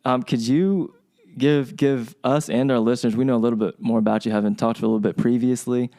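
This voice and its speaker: A man's voice: medium pitch, steady tone, brisk, dark tone. A man co-hosting a podcast interview, asking questions in a casual, rambling way with many restarts. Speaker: podcast co-host.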